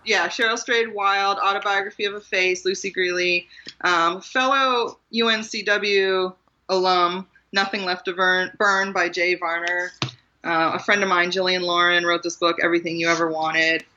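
A woman's voice: fairly low pitch, steady tone, normal speed, bright, forceful tone, highs cut off. An American woman talking casually and reflectively as the guest in an interview about her own experience. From Maryland, US. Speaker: guest author and writing teacher.

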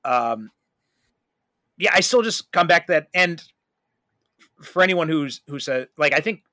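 A man's voice: on the high side, expressive, measured pace, sharp tone, dry recording, studio quality. A man hosting a podcast, talking in a casual, conversational way. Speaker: podcast host.

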